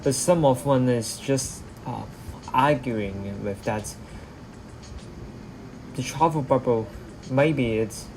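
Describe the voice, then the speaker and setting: A man with a Hong Kong accent, a native Cantonese speaker, answering viewers' chat questions on a live stream in halting English, often repeating words as he searches for them. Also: mid-range voice, a little mellow, slight background noise, low-quality recording. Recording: music playing clearly behind.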